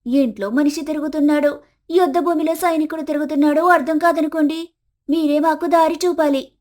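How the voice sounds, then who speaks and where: A woman voicing a chatty village neighbour who flatters and complains about her household, in an animated story. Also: high-pitched, a little fast, pitched above the performer's own voice, natural voice. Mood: complaining. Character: gossipy village neighbour women airing household grievances. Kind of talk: animated moral story acting.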